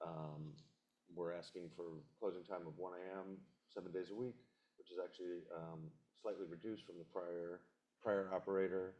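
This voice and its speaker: A man representing a license applicant at a community board meeting, presenting in a measured, businesslike way with some fillers. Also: sits low, fairly even intonation, a little mellow, highs cut off.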